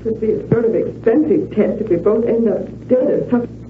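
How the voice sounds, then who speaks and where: A woman's voice: a little high, a little fast, close to an everyday voice. Nervy, quipping magician's sidekick, played by a woman, 1940s radio thriller, hissy echoey recording. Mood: nervous, wry. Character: anxious, wisecracking female magician's assistant. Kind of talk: 1940s radio drama acting. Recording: echoey, tinny, noisy old radio recording.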